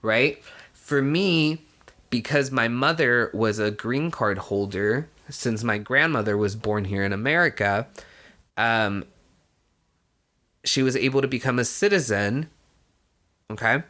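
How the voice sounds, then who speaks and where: A man's voice: medium pitch, measured pace, long pauses, bright, forceful tone. A young man, born in Mexico, telling his own story in a casual, conversational way, peppering it with "you know" and the odd swear word. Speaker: vlogger. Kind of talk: casual personal storytelling to camera.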